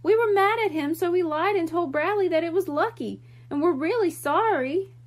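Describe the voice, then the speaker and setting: A woman's voice: very high voice, normal speed, pitched above the performer's own voice. A woman voicing an earnest, worried little boy with pleading emphasis in a children's storybook. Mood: apologetic. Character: earnest, worried little boys confessing mischief.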